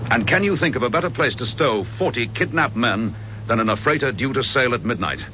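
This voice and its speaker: An actor playing a brisk, decisive British chief inspector in a vintage radio crime serial. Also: male voice, mid-range voice, animated, close to an everyday voice. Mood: intent. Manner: brisk, commanding. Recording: dull, noisy vintage radio recording.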